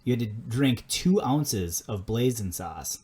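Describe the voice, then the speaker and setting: A man's voice: mid-range voice, takes it slowly. A man with a Minnesota accent co-hosting a podcast, chatting casually and telling stories with many restarts. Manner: relaxed, rambling storyteller with many restarts. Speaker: podcast co-host. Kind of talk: casual chat.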